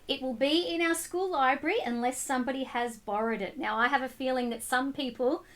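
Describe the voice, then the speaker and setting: Bright, high-pitched woman reading to children. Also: brisk. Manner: expressive, addresses children directly. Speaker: school storytime reader. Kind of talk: picture book read-aloud for children.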